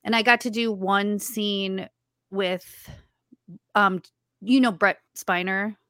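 A woman's voice: mid-range voice, steady tone, slow pace, a bit bright, dry recording, studio quality. A woman with a childlike voice, chatting as a guest in an interview about her writing and voice work, often restarting her sentences. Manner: chatty, with frequent restarts and fillers. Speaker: guest, writer and voice actor. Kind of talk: casual interview chat.

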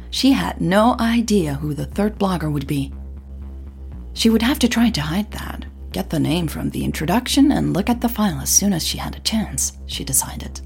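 A woman narrating scripted audio fiction as a podcast storyteller, in a measured, dramatic way. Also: medium pitch, vocal fry, darkish tone.

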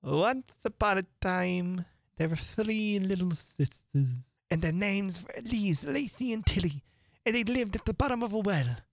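A woman voicing a sleepy male dormouse with a hoarse, feeble voice, talking slowly. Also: mid-range voice, lively intonation, dry recording, voice pushed up. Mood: drowsy. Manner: slow, dreamy.

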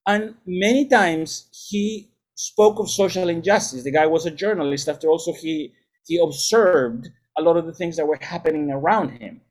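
A man's voice: on the high side, normal speed. A middle-aged man, a music educator and salsa band leader, giving thoughtful, lecture-like interview answers with frequent restarts.

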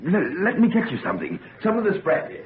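A protective older father in a vintage radio play, urgent and imploring. His theatrical voice swings very widely in pitch, through a tinny, slightly noisy recording. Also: wildly swooping pitch, quick pace. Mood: alarmed, concerned. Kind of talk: old-time radio drama acting.

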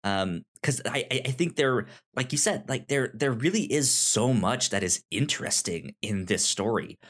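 Podcast cohost just chatting about books, kinda halting, keeps repeating words and syllables. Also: mid-range voice, animated. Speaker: podcast co-host. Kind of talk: casual book discussion.

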